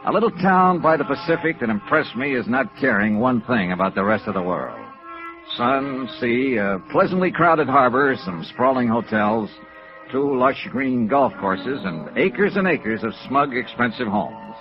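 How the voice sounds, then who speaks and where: An American actor playing a wry, dogged insurance investigator in 1950s radio noir, clipped and matter-of-fact. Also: male voice, mid-range voice, lively intonation, unhurried, close to an everyday voice. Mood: dry, businesslike. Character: wry, dogged male freelance insurance investigator, private-eye type. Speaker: lead actor and narrator. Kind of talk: 1950s radio noir acting and first-person narration. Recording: dull vintage radio recording with music.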